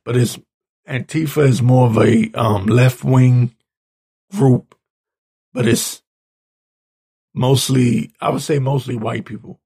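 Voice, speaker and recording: Raspy man ranting, gravelly and halting. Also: mid-range voice, mellow. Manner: halting, exasperated, blunt. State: hoarse, clearing his throat. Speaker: political commentator reading tweets and articles. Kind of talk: informal opinion rant.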